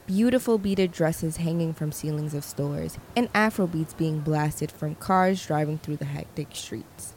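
A woman reporter reading scripted narration in a measured, descriptive way. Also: sits low, a little mellow, a little hiss or hum, low-quality recording.

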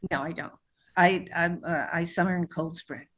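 A woman's voice: lowish voice, fairly even intonation, moderate pace, a bit bright. Middle-aged woman writer, easy conversational delivery, talks freely about her work and life. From born in New Mexico, raised in New York. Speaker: author being interviewed. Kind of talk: conversational interview.

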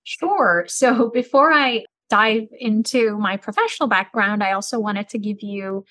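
A woman's voice: mid-range voice, sharp tone, no echo, low-quality recording. Articulate middle-aged woman from Turkey. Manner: measured, articulate, explanatory. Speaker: business school dean. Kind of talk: podcast interview answers.

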